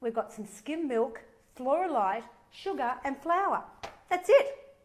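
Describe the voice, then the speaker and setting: A woman's voice: high voice, lively intonation, takes it slowly, darkish tone. A middle-aged woman hosting a live cooking demonstration from her kitchen, chatting bubbly and enthusiastic, a bit nasal from a head cold. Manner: bubbly and enthusiastic, reading out viewer comments. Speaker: cooking show host. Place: home kitchen.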